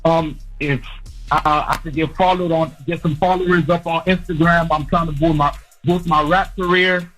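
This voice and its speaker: A man's voice: fairly high pitch, steady tone, moderate pace, a bit bright, low-quality recording. A man from Florida calls a radio show, nervous and stammering, asking for support for his music. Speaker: caller, rapper.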